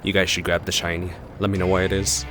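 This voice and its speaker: Male game streamer, low voice, casual, playful, talks back to the game, music behind. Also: completely flat delivery, fast talker. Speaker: streamer reacting to a video game.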